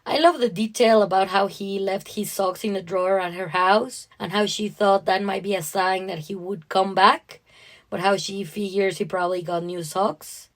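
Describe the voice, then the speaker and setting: A woman's voice: medium pitch, steady tone, normal speed. A woman co-hosting a podcast, talking conversationally about short stories. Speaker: podcast co-host. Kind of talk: conversational story discussion.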